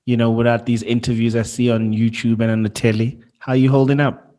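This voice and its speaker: A man's voice: mid-range voice, level tone, brisk, dark tone. A man hosting an interview in an enthusiastic, casual way, often repeating words as he gets started. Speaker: interview host.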